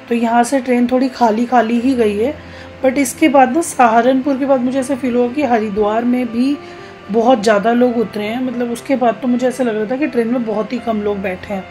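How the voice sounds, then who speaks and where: A woman's voice: a little high, steady tone, a little fast, dark tone, faint music behind. A woman in her thirties from Uttarakhand, India, narrating a casual vlog in a chatty way, talking directly to viewers. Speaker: travel vlogger.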